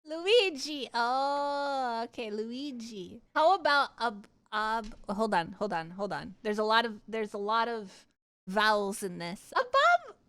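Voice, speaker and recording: A woman livestreamer casually chatting with her viewers and telling personal stories in an animated, candid, giggly way, swearing freely. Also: on the high side, takes it slowly, clear, resonant tone. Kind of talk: casual chat and personal storytelling.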